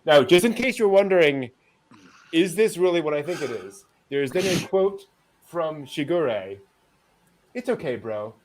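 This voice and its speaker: A man's voice: a little high, lively intonation, a little slow, low-quality recording. A man hosting a casual anime news show, chatting and joking with a wry, amused delivery.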